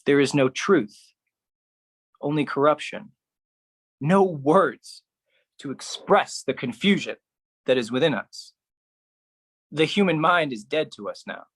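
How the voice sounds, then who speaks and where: Slow, deliberate theatrical solo performer. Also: mid-range voice, expressive. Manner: dramatic, deliberate phrasing with pauses and repetition. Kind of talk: theatrical poetic monologue, spoken-word solo performance.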